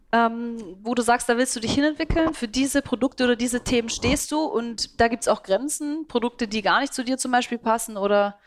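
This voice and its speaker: A woman's voice: on the high side, normal speed. A woman interviewing a guest, asking long, winding questions with some hesitation.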